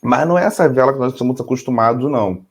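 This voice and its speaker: A man's voice: mid-range voice, racing, husky. A man co-hosting a casual educational chat, teasing his partner and laughing often. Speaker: co-host.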